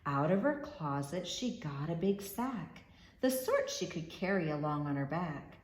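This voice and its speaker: Slow, rhythmic woman storyteller in an echoey room. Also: deep voice, sing-song. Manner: measured, rhythmic. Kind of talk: expressive rhyming children's storybook narration.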